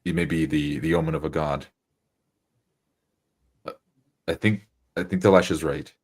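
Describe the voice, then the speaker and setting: A man playing an earnest, devout adventurer in a tabletop game, speaking politely and haltingly with a solemn air. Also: a bass voice, steady tone, a little mellow, husky. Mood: reverent. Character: earnest, devout male adventurer reading omens.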